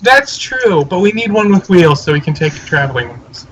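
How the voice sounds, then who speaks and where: A man's voice: on the high side, expressive, fast. A man chatting casually as a player in a tabletop game, on a slightly noisy mic with clicks. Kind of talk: casual chat.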